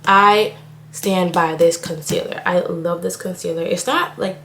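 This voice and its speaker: Young woman from haiti rambling away in casual chat, kinda low voice, roomy echo. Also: fairly even intonation, a little slow, fairly bright tone, a little hiss or hum. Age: young adult. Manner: rambling, chatty, frequent "like". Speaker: nursing student vlogger. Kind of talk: casual get-ready-with-me chat. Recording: echoey room.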